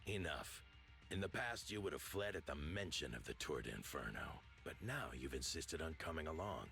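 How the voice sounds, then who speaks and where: An actor voicing a proud, old-fashioned biker gang boss in a video game, gravelly, blunt and commanding. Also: male voice, extremely low pitch, lively intonation, moderate pace, pushed and bright, faint music behind, exaggerated voice. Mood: stern. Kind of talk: dramatic video game voice acting.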